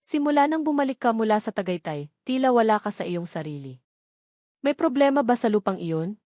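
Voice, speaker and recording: Wealthy old Filipino matriarch read by a female narrator, controlling, measured and probing, story drama, dry sound. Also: on the high side, animated, dark tone, dry recording, close to an everyday voice. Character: sharp-eyed, controlling wealthy old grandmother.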